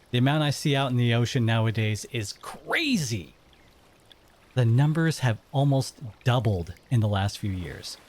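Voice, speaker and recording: An actor playing a blunt, wary sea-dwelling monster in an audio drama, with casual, outspoken phrasing. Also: male voice, medium pitch, a little mellow.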